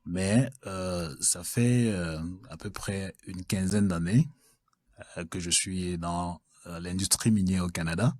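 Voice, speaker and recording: A man with a very deep voice answers interview questions in a measured way. He pauses with frequent filler sounds, and the recording is dry and close. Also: darkish tone, dry recording. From Cameroon. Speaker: guest, mining executive.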